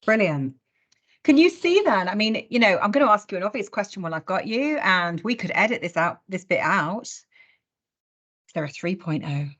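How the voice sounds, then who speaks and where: A woman's voice: medium pitch, sing-song, moderate pace, fairly bright tone. A woman hosting a casual business conversation in a chatty way with wry humour. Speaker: host of a recruitment industry discussion.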